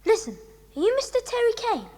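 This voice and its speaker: High, echoing English schoolboy. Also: female voice, wildly swooping pitch, a little fast, a little mellow, heavily acted. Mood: earnest, polite. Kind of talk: children's audio drama acting. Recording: long reverberant hall sound.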